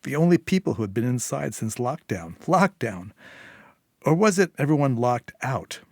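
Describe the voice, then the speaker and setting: Male storyteller for dramatic short fiction, middle pitch, dry close sound. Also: very animated, normal speed, a little mellow, no room sound. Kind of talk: scripted narration, dramatic short story reading.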